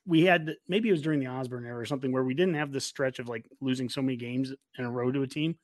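A man's voice: mid-range voice, fast, no echo, studio quality. An American man in his forties hosting a sports fan podcast in casual chat, rambling along enthusiastically. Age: 40s.

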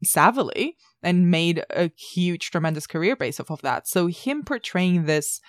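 A woman's voice: lowish voice, lively intonation, unhurried, no room sound, studio quality. A woman co-hosting a podcast with considered, articulate film analysis, leaning on key words. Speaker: podcast co-host.

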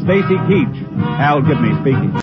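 A man's voice: a little high, expressive, normal speed, loud music behind the voice. A man announcing in a formal, scripted 1950s radio style. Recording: muffled vintage radio recording.

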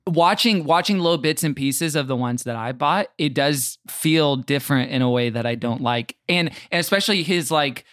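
A man's voice: on the high side, moderate pace, hardly any pauses, fairly bright tone, no echo, clean studio sound. A man chatting casually as a comedic podcast guest, rambling and joking as he interrupts himself.

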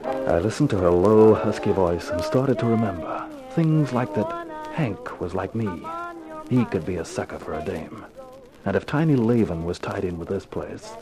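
A man's voice: low voice, expressive, moderate pace, low-quality recording. An actor playing a cool, wisecracking private eye in a 1950s radio detective drama, terse and wry in his slangy delivery. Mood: moody narration. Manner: terse, wry, slangy tough-guy talk. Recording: vintage 1950s radio recording with music.

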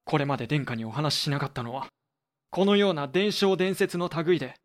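A man's voice: a little high, animated, brisk, lightly acted. A man playing a composed, guarded tea master who speaks formally and deferentially in a Japanese period audio drama. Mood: earnest, defensive.

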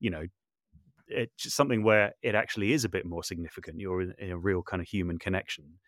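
A man's voice: sits low, quick pace, a bit bright, no echo, studio quality. A man speaking reflectively as an interview guest, feeling his way through sentences and often rephrasing as he goes. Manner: thoughtful, hesitant, self-correcting with repeated words. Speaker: podcast guest, composer and voice artist.

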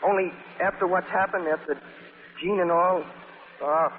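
An actor performs a bashful young suitor whose high voice jumps around as he stammers earnestly. It is old radio acting, tongue-tied and sincere. The recording is tinny and echoes like a large hall. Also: steady tone, moderate pace, natural voice. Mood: nervous, earnest. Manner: stammering, hesitant. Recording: echoey, noisy, band-limited old radio broadcast.

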